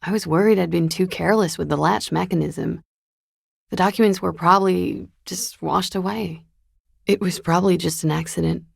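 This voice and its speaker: Casting: a secretive young female disco dancer in a game, coy and understated, very gravelly fry, close dry sound. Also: fairly low pitch, steady tone, normal speed, no room sound, full character voice. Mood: guarded, composed. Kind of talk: video game voice acting.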